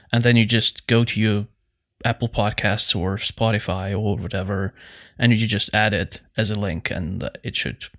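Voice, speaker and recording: Halting man chatting casually on a podcast. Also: fairly low pitch, a bit bright. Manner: halting, with repeated false starts. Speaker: podcast co-host and producer. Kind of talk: casual unscripted podcast chat.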